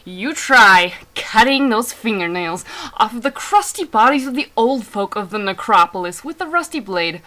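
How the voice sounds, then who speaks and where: A woman's voice: a little high, animated, moderate pace, sharp tone. A woman playing a feisty grave-robbing scavenger in a scornful, breathless theatrical rant. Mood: indignant ranting.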